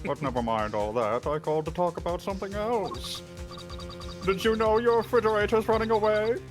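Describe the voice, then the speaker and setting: A man's voice: sits high, brisk, natural voice. A man playing a mocking, sarcastic kidnapper mastermind in a video game fan dub. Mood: gleefully taunting. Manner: mocking, sing-song sarcasm. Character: taunting, smug male mastermind, a masked kidnapper on the phone. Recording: music playing under the voice.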